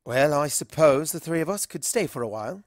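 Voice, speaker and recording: Casting: man playing eccentric time-travelling male pony doctor, theatrical and earnest, lively delivery, close dry sound. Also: a little high, fairly quick, no room sound, close to an everyday voice. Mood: awkward, subdued. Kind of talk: storybook character dialogue.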